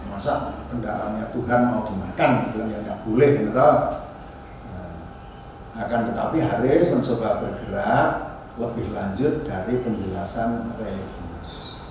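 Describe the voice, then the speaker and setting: A man giving an informal academic lecture as a university teacher, halting and restarting, often adding tag questions. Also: fairly low pitch. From Indonesia. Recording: very echoey room, distant mic, faint noise.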